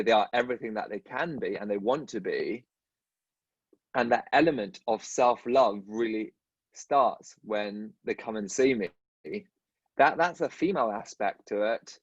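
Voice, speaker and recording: A man's voice: mid-range voice, a little slow, breathy edge, no echo, muffled recording. A man appearing as a guest, a dentist, talking in casual conversation with a stutter that repeats the starts of words.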